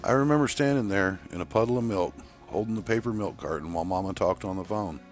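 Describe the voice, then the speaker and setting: A man's voice: fairly low pitch, fast talker, soft background music, close to an everyday voice. A man voicing a wistful woman who earnestly recalls her trucker father in an audio story. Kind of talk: acted dialogue in an audio story.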